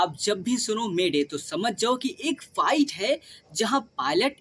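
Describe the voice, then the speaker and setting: A woman's voice: medium pitch, breathy edge. A woman with an Indian accent hosting a scripted explainer, speaking straight to listeners. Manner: addresses listeners directly with rhetorical questions. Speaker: video host.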